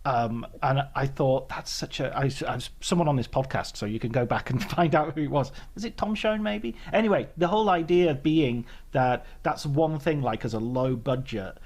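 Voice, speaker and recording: A man's voice: mid-range voice, normal speed, hardly any pauses. A man hosting a podcast, chatting in a friendly way, laughing easily and thinking aloud. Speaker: podcast host. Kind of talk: casual interview chat.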